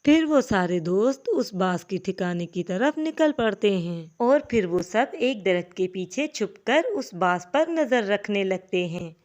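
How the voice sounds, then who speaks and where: A woman's voice: mid-range voice, lively intonation, a little slow, hardly any pauses, a little mellow. Woman narrating a children's cartoon tale. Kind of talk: scripted children's story narration.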